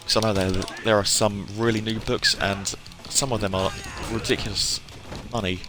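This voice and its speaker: A man's voice: fairly low pitch, steady tone, moderate pace. A British man chatting casually to viewers on a live stream, rambling and hesitant, often trailing off. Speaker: hobby game streamer. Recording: music playing behind the voice. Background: music.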